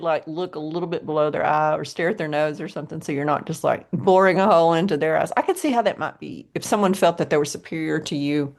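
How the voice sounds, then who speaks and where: Podcast co-host, American woman, lowish voice, easygoing banter. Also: creaky. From United States. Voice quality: low voice. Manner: chatty, laughs often, puts on voices for examples. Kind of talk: casual chat.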